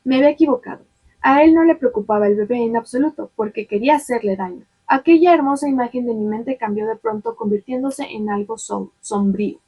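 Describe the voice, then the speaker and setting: A woman reading a novel aloud in an expressive way, sometimes stumbling and restarting words, with chatty asides to her viewers. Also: fairly high pitch, a little fast, slightly rough, muffled recording.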